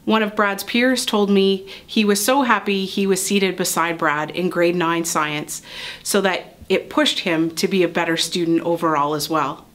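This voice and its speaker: A woman's voice: fairly low pitch, fairly even intonation, unhurried, fairly bright tone. A middle-aged woman teacher presenting an award and introducing the valedictorian, speaking with pride. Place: school building near windows.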